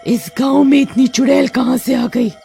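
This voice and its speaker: A woman voicing a hungry, menacing witch who haunts a village in a cartoon story, with drawn-out, threatening calls. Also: high-pitched, steady tone, gravelly, warm tone, soft background music, voice pushed up. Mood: frustrated, menacing.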